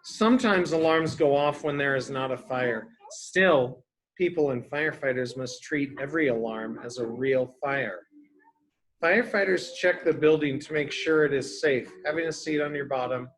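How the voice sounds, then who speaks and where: A man's voice: fairly high pitch, normal speed, poor audio. A man reading a picture book aloud to young children as a teacher, explaining things simply and asking them questions.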